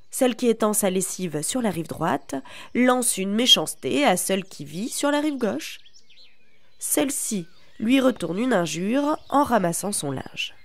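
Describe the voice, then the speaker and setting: A woman reads a children's tale aloud at an unhurried pace. Her voice has a gravelly, creaky edge, and she tells the story in a calm, deliberate manner. The sound is close and dry. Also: medium pitch, dry recording. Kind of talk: scripted narration of a children's tale.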